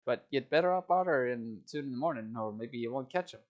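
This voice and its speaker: A man's voice: medium pitch, expressive, brisk, lo-fi sound, natural voice. A man voicing an affable working-class London workman with a put-on Cockney accent. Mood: chatty.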